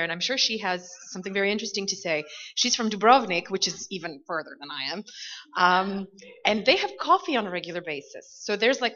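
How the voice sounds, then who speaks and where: A woman's voice: mid-range voice, fairly bright tone. A woman living abroad who speaks at a panel in a chatty way, with frequent "you know". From not Croatia. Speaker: panelist, expat parent. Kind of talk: conference panel remarks. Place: conference hall. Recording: dull, reverberant hall recording.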